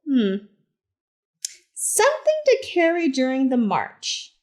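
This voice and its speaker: A woman reading a picture book aloud to children as a teacher librarian, in simple, deliberate phrases with short asides. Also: high voice, huge pitch swings. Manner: simple, deliberate phrasing addressed to young listeners.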